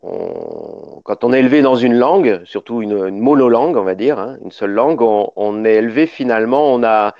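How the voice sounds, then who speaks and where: A man's voice: mid-range voice, moderate pace, few pauses, dry recording. A middle-aged man from Paris, a documentary filmmaker being interviewed on radio, who explains at length and often restarts his sentences. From Paris, northern France. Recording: dull, dry studio sound.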